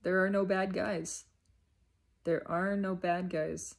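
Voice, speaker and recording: Woman musing out loud about spiritual stuff, slow n gravelly, short repeated phrases. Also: fairly low pitch, little pitch movement. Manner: halting short phrases with deliberate repetition. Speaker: self-reflective spiritual vlogger. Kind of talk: unscripted reflective spiritual monologue.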